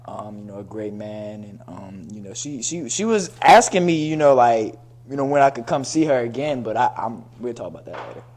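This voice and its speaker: A man in his twenties co-hosting a casual talk show, teasing his guest and breaking into loud excited outbursts. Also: medium pitch, brisk. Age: 20s. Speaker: podcast co-host. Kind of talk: casual joking chat.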